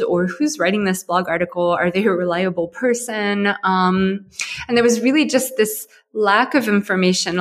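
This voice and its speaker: An American woman talks through her experiences as a podcast guest. Her voice sits in a middle register, and she tells her story with animated turns and plenty of small fillers. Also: medium pitch. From Ohio, USA. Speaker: guest, company co-founder. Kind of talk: conversational interview.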